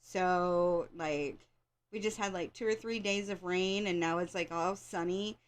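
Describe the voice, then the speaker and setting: A woman's voice: lowish voice, fairly even intonation, a little slow. An American woman hosting a wrestling show in casual, rambling chat, with a slightly congested, scratchy voice and an informal, opinionated fan's way of talking. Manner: opinionated, informal fan talk with repeated phrases.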